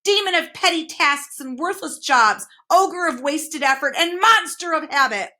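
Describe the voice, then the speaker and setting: A woman voicing a sour, deceitful male demon of petty tasks in a storybook reading, speaking softly and coaxingly. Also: high-pitched, a little slow, voice pushed up. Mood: menacing coaxing.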